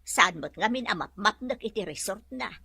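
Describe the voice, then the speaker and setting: A woman playing a bright, chatty household worker. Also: mid-range voice, expressive, moderate pace, gravelly, slightly rough, dry recording, heavily acted. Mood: curious. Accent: Filipino, Ilocano. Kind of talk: Ilocano radio-style drama acting.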